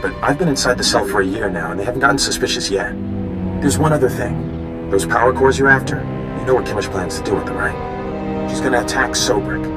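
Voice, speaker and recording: A man's voice: on the low side, natural voice. An actor playing an earnest, anxious young man working as an undercover mole in a video game. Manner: earnest, eager, apologetic. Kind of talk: scripted video game dialogue.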